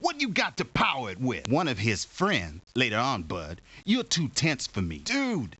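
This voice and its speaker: A man's voice: medium pitch, wildly swooping pitch, measured pace, pushed and bright, slightly rough, no echo, heavily acted. A man voicing a cagey, cocky street informant for a video game, sneering in brash slang. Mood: cagey, hostile. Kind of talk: video game character acting.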